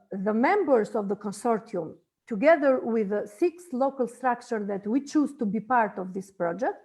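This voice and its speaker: A woman, an English speaker, presenting a project in an online info session and pausing often to search for words. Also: a little high, darkish tone. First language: Albanian. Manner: halting, searching for words in a second language. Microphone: video call.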